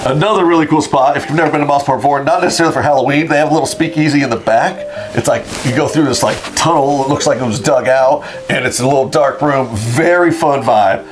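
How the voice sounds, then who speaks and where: A man's voice: mid-range voice, fast talker, few pauses, over music. A man co-hosting a casual chat, teasing his partner and rambling through jokes. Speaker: podcast co-host, title company rep.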